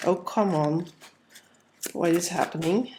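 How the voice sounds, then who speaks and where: A woman's voice: fairly low pitch, slow, dark tone, slight background noise. A woman with a cold and a nasal voice, giving a casual tarot reading and talking through each card as she lays it out. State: has a cold. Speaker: tarot reader.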